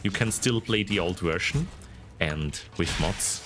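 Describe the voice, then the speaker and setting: A man with a deep baritone and an Austrian accent hosts a game stream in casual chat. His delivery is slow but swings widely in pitch, expressive and giggly. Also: noisy background. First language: German. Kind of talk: casual livestream chat while gaming.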